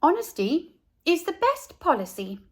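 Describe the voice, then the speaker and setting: A woman's voice: very high-pitched, sing-song, unhurried, a little mellow, voice pushed up, heavily acted. A woman voicing an earnest, blunt and innocent little schoolboy in a storybook, speaking in a matter-of-fact way. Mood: blunt, cheerful.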